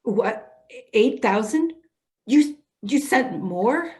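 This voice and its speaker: A woman's voice: on the high side, lively intonation, extremely slow, a little mellow. Woman playing a concerned, caring social worker. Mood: shocked, dismayed. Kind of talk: staged play reading.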